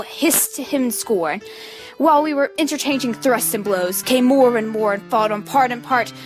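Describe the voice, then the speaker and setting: A woman playing an earnest young male peacemaker in an amateur Shakespeare reading, pleading and explaining. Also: high-pitched.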